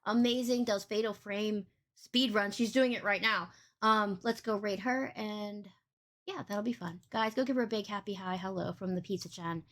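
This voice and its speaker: A woman hosting a casual livestream podcast, rambling cheerfully and swearing freely, with a big boomy voice. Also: steady tone, moderate pace, a bit bright. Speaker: podcast host and streamer.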